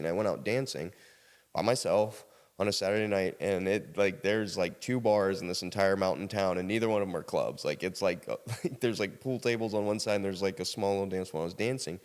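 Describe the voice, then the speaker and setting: Podcast guest: American man, low voice, casual animated storyteller, recorded with long reverb. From South Carolina, United States. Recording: reverberant hall-like room sound.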